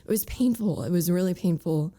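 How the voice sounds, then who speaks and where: A young woman with a raspy voice full of cracks, speaking as a guest in a candid interview, halting and full of fillers. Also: lowish voice, mellow.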